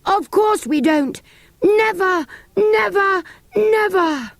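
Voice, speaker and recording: A woman's voice: extremely high pitch, takes it slowly, creaky, no room sound, heavily acted. A woman voicing a bold young boy who gives orders while defending a castle. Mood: excited. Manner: eager, commanding.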